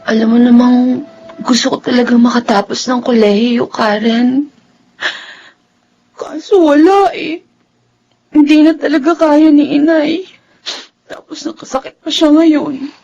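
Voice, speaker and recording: A woman's voice: high-pitched, a little slow, pauses often, dark tone, lo-fi sound, a little hiss or hum. A woman acting a troubled, earnest young college student in a Filipino radio drama, with a plaintive, emotive delivery. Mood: downcast.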